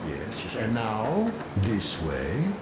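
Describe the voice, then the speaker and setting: An actor playing a patient, gently strict man teaching handiwork in a game, with an instructive manner. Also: fairly low pitch, wildly swooping pitch, exaggerated voice. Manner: slow, instructive. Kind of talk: game cutscene dialogue. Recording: echoey, noisy ambience.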